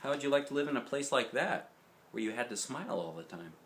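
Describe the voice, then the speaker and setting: A man's voice: mid-range voice, lively intonation, fast talker, a bit bright, slight background noise. A man reading a children's picture book aloud as a dad would, with dramatic emphasis and chatty questions to the listener. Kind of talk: expressive children's storybook narration with chatty asides.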